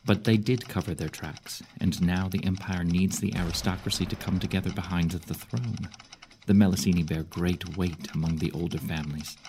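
An actor playing an older Roman general in an audio drama, authoritative and deliberate, kindly yet shrewd. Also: male voice, sits low, steady tone, noisy background. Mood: shrewd, probing.

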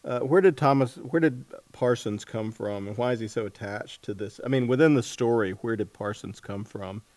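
A man's voice: medium pitch, moderate pace, darkish tone, dry recording. A man hosting a casual interview, feeling his way through questions and rephrasing them as he goes. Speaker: interviewer, host.

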